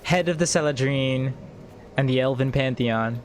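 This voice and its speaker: A man running a tabletop game as game master, reading lore aloud in halting, broken phrases. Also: mid-range voice, slight background noise. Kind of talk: narrating a tabletop game over a call.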